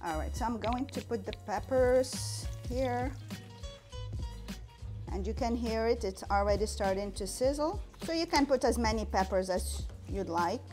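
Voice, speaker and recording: A woman's voice: fairly high pitch, measured pace, a little mellow, soft background music. A woman in her forties from Morocco, hosting a cooking show and explaining each step as she cooks in a home kitchen on a lapel mic. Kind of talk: cooking demonstration.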